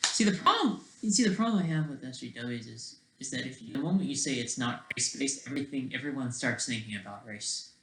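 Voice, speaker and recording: Casual video creator, mid-range pitch with heavy vocal fry, rambles and thinks out loud. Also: expressive, a little fast, a little hiss or hum, poor audio. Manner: rambling, thinking aloud, frequently digressing. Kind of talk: casual rambling screen-share commentary.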